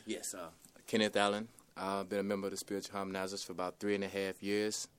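A man in his thirties from Ohio introducing himself briskly. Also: deep voice, flat delivery, slight background noise. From Ohio, USA. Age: 30s.

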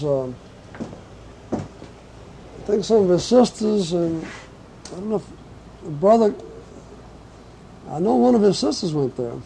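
An older man from Portugal, reminiscing in an oral history interview, who talks in a halting, reflective way as he searches his memory. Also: a little high, gravelly, dark tone, slight background noise, rough recording. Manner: halting, reflective, slow recall.

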